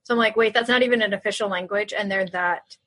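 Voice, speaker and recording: A woman's voice: mid-range voice, steady tone, fairly bright tone. A woman hosting a podcast, chatting casually and reacting readily to her guest. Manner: chatty, quick to laugh and react. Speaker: podcast host. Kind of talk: casual interview chat.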